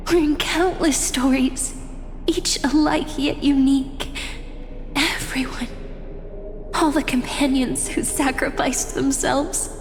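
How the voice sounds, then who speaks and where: Soft wistful time guardian girl, high and slow, kinda whispery, reverb and faint music. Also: a little hiss or hum. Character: gentle young woman guardian of time, tender. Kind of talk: game cutscene voice acting.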